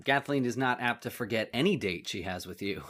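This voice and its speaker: A man's voice: mid-range voice, expressive, normal speed, a bit bright. Man playing an eager, joking young cop. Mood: joking, bold. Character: eager, joking young policeman, bold rookie nephew. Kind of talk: old-time radio drama acting.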